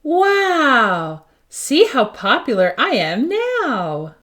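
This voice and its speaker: A woman's voice: sits high, wildly swooping pitch, very slow, a bit bright, full character voice. A woman voicing an eager, naive young puppy with a childlike, wide-eyed manner in a storybook read-aloud. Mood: joyful.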